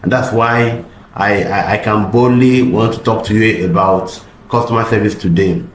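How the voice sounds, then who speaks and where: A middle-aged man with a slightly hoarse voice from a cold, giving an online customer service seminar in an earnest way and repeating words for emphasis. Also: on the low side, normal speed, roomy sound, slight background noise.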